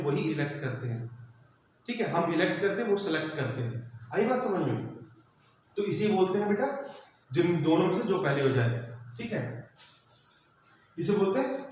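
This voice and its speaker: A male school teacher gives a civics lecture to students. He explains terms step by step, repeats points and mixes English terms into Hindi. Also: on the high side. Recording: very echoey room with faint background noise.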